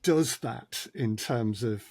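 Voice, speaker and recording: A man's voice: mid-range voice, slow pace, darkish tone, dry recording. A man who is a guest on a podcast, explaining his sound design work in a modest, methodical way. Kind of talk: conversational podcast interview.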